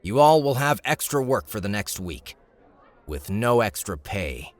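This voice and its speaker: A man's voice: fairly low pitch, very animated, unhurried, voice pushed up. A man voicing a shrewd, smug young noble in an audiobook, speaking in a glib, formal, commanding way. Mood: stern, commanding. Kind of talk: audiobook character dialogue.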